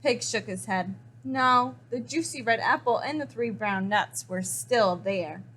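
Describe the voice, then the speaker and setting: High-voiced woman reading a children's story. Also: measured pace, fairly bright tone, breathy edge, rough recording. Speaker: story reader. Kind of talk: expressive children's picture book read-aloud.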